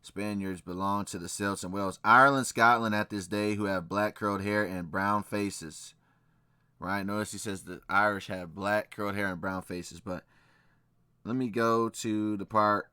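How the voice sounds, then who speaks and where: Man reading census records out loud, bright tone, dry close sound, keeps saying "right?". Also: fairly low pitch, fairly even intonation, measured pace, clear, resonant tone, dry recording. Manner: emphatic, repeats key phrases, asks "right?". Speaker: channel host reacting to a video. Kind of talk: casual commentary, reading documents aloud.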